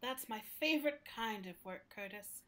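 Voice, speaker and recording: A woman's voice: on the high side, animated, normal speed, pushed and bright. A woman playing an earnest, caring library building that talks like a person in a conversational radio play. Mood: thoughtful, passionate. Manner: earnest, reflective, politely opinionated. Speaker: actor playing the interview guest.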